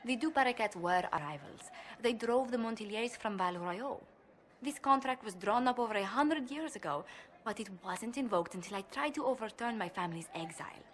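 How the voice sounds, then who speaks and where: A woman's voice: on the high side, animated, a little fast, long reverb tail, close to an everyday voice. An actress playing a proud, worried young noblewoman diplomat in a video game, speaking formally, precisely and earnestly. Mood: measured, concerned. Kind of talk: video game cutscene acting.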